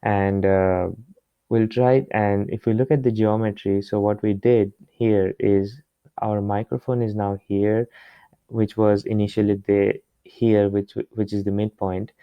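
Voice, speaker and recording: A man working through a problem step by step as a tutor, in a halting way with pauses and repeats. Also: on the low side, level tone, smooth, no echo. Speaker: physics tutor. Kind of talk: explaining a worked problem step by step. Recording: dull, muffled lo-fi close recording.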